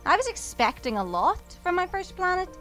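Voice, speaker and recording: An actress playing a caring, plucky young travelling companion in an audio drama. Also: female voice, very high voice, big pitch swings, normal speed, a bit bright, faint music behind, heavily acted. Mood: wry, plucky.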